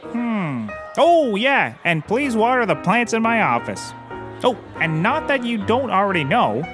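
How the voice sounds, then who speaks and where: Hapless private eye for audio comedy, male actor: high, very animated, oblivious, earnest rambler, music behind. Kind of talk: scripted audio comedy acting.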